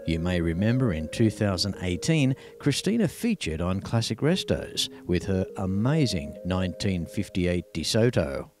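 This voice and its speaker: An Australian man reading scripted narration as presenter of a television feature. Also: fairly low pitch. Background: music.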